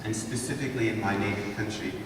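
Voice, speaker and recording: A man's voice: lowish voice, fairly even intonation, fast talker. Fifties man from Honduras, guest speaker, rhetorical lecture style, caught from far off in a big echoing hall. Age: 50s. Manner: rhetorical, asks the audience to think. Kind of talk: lecture to students. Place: large wood-paneled hall, indoors. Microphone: far camera, no mic seen. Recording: echoey hall from far off, noisy.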